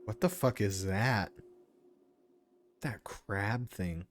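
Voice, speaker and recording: A man's voice: lowish voice, very slow. A man doing casual live-stream game commentary, irreverent, sweary and easily distracted. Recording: faint background noise.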